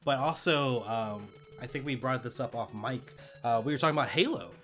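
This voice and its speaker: A man's voice: mid-range voice, animated, fairly quick, a bit bright, soft background music. A man co-hosting a pop culture podcast in an informal, agreeable, chatty way. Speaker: podcast co-host.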